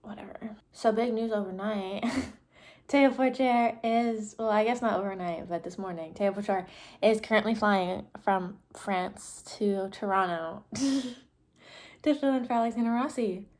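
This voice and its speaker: A woman's voice: medium pitch, a little slow. Chatty young woman vlogging on her phone. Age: young adult. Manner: chatty and excitable, many "like"s. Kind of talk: casual vlog chat. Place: bedroom indoors. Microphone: phone camera at arm's length.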